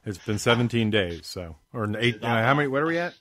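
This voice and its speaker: A man's voice: mid-range voice, animated, quick pace, a bit bright, no echo. A man chatting casually as a podcast guest in an upbeat, playful manner. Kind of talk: casual interview chat.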